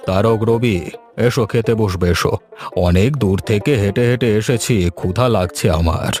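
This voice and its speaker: A man voicing a stern but fair village headman in a cartoon moral story, with measured, moralising speech. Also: on the low side, darkish tone, soft background music, voice pushed down, lightly acted. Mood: kindly.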